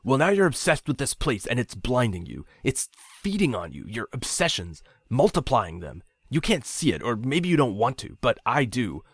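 A man's voice: medium pitch, huge pitch swings, normal speed, hardly any pauses, breathy edge, no room sound, voice pushed up, full character voice. A man reading a story aloud, voicing a frightened, angry young man who pleads, accuses and swears often. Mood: panicked, furious.

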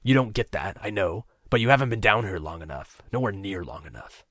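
A man's voice: lowish voice, lively intonation, normal speed, fairly bright tone, slightly rough, no room sound, clean studio sound, exaggerated voice. A man voicing a desperate young male captor in an audiobook, swinging from harsh taunts to pleading whispers. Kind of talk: audiobook character voice.

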